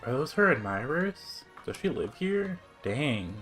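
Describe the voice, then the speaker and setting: A man's voice: medium pitch, very animated, a little slow, fairly bright tone, faint music behind. A man livestreaming a game with casual, slangy, chatty commentary.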